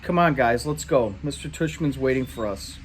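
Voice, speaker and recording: A man reading the part of a calm, firm schoolboy in a story, laid-back and slangy. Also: medium pitch, moderate pace, a little hiss or hum, lightly acted.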